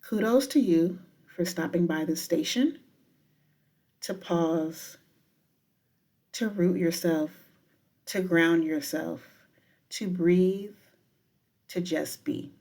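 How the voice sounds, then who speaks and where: A woman guiding a meditation in a deliberate, unhurried manner with frequent pauses. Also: lowish voice, dark tone. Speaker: professor guiding a breathing meditation. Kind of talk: guided meditation with spoken poetry.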